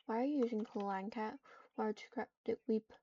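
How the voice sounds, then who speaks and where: A woman voicing an evil, scheming female cat in an online game roleplay, suspicious, commanding and deliberate. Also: fairly high pitch, warm tone, natural voice. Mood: suspicious, interrogating. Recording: muffled lo-fi mic with keyboard clatter.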